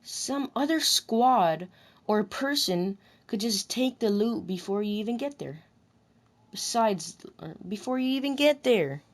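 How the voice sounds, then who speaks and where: A woman recording scripted commentary about a video game, deliberately delivering lines and often rephrasing them in retakes. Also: mid-range voice, lively intonation. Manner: deliberate, restarts and rephrases whole sentences.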